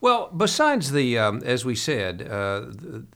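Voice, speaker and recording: A man hosting an interview in an ordinary mid-range voice. He speaks conversationally and haltingly, with many fillers and restarts. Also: mid-range voice, huge pitch swings, creaky, pushed and bright. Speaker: interview host.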